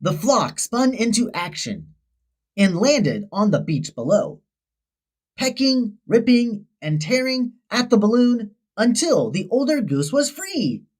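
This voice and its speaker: A woman narrating a children's story in a dramatic, expressive way. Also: a little high, takes it slowly. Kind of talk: scripted children's story read-aloud.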